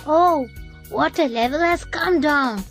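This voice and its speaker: A woman plays a naive little boy in an educational cartoon. The high, childlike voice speaks slowly and with wonder, over faint music. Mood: puzzled, wondering.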